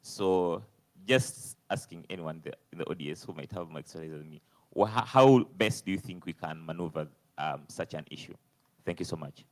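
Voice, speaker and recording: A young man from Uganda with a very deep, low voice. He asks his question from the audience hesitantly, pausing on fillers and restarting his sentences. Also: low-quality recording. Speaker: audience member asking a question. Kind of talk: conference floor question.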